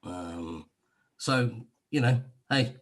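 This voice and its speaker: A man's voice: medium pitch, animated, extremely slow. An English man in a casual conversation, telling his own health story in a rambling, wry way with many restarts. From England. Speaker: guest sharing a health testimonial. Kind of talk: casual video-call conversation. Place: lives in Cyprus.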